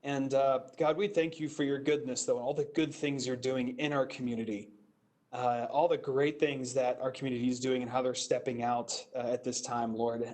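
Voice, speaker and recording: A pastor, a man, leading a spoken invocation at a council meeting, earnest and steady with some fillers. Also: mid-range voice, normal speed. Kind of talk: spoken prayer.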